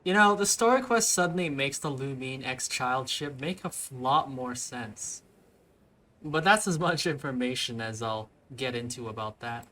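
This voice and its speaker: Bright-voiced young man commenting on a game. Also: medium pitch, moderate pace. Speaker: let's play streamer. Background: game music.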